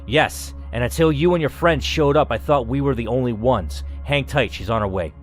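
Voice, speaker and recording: A man's voice: mid-range voice, normal speed, close to an everyday voice. Man playing a stern, commanding older boss. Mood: gruff, curt. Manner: commanding, curt, scolding. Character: older, powerful, tired, stern male facility boss. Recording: music under the voice.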